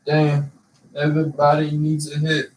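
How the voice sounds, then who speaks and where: A man's voice: a little high, monotone, a little slow, a little mellow, recorded from a distance. A young man chatting casually with a friend and freestyling raps, loose and profane. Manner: loose, profane, laughing banter. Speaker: rapper. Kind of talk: casual chat and freestyle rapping. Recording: roomy, from off the mic.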